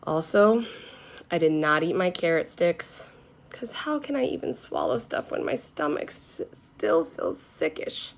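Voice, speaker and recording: A woman's voice: deep voice, big pitch swings, a little slow. A woman reading a children's story aloud expressively, as if to young listeners. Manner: addresses young listeners with questions.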